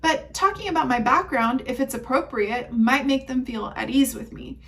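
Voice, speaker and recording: A cheerful, chatty woman gives coaching tips in a fairly high voice, laughing easily between points. Spanish and German were her first languages. She sounds a little distant from the microphone. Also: normal speed, creaky. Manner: upbeat, chatty, laughs often. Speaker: leasing trainer.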